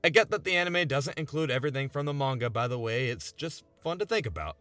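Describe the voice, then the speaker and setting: A man's voice: mid-range voice, animated, fast, fairly bright tone, no room sound. A man in his twenties narrating a scripted video essay in an earnest, emphatic way, often posing rhetorical questions. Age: 20s. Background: background music.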